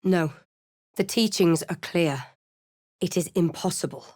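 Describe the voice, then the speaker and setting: A woman playing a thoughtful, resolute fantasy adventurer in a video game, with a measured, dry and brooding delivery. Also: lowish voice, a little mellow, no echo. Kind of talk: dramatic video game narration and character lines.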